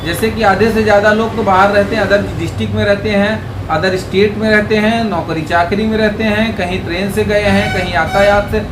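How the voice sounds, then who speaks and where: A man's voice: high-pitched, steady tone, brisk, hardly any pauses. A man giving an informal legal explainer to viewers, talking conversationally and directly, with rhetorical questions. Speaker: legal advisor presenting a video. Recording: echoey room with clear background noise.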